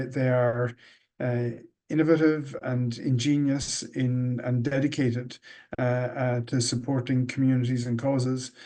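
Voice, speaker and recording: A man's voice: mid-range voice, darkish tone. A middle-aged man with an Irish accent, answering interview questions thoughtfully with many ums and uhs. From Ireland. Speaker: guest, managing director.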